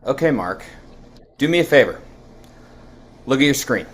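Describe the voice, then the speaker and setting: A man's voice: mid-range voice, expressive, moderate pace, fairly bright tone, natural voice. A man playing a blunt, sardonic office worker in a script table read, with a wry way of talking. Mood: steady, reassuring.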